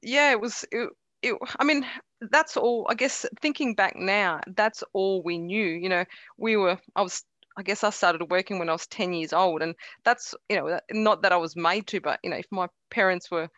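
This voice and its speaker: A woman's voice: mid-range voice, moderate pace, a bit bright, no room sound. A middle-aged woman from Croatia, a Croatian speaker with a faint Croatian accent, chatting easily in an interview, laughing often and restarting her sentences. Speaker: interview guest. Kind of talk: relaxed conversational interview.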